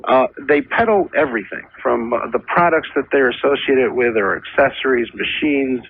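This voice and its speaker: Raspy American man calling on a phone line. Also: mid-range voice, moderate pace. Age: 50s. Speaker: caller to a radio advice show. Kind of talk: casual phone conversation. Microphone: telephone. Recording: narrow muffled phone line on radio.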